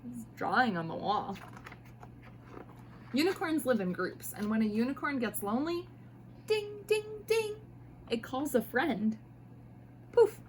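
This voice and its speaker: A woman with a high voice reads a children's picture book aloud. Her delivery is expressive and playful, aimed straight at young listeners. There is faint noise behind her. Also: unhurried, long pauses. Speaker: mother reading picture books aloud to children. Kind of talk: animated storybook read-aloud.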